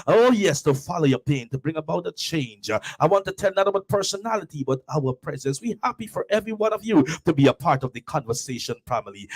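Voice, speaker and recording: A man with a Guyanese accent hosting a live motivational talk, preaching in repeated, building phrases with frequent "oh yes" interjections. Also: a little high. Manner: preachy, repetitive, builds with rising exclamations. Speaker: host and motivational speaker.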